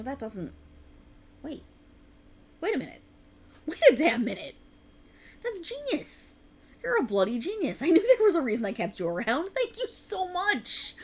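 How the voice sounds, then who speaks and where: A woman's voice: sits high, heavily acted. A woman acting a sleep-deprived, stubborn writer girlfriend in a conversational ASMR roleplay, with a teasing, dramatic, bantering manner. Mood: self-critical, then excited.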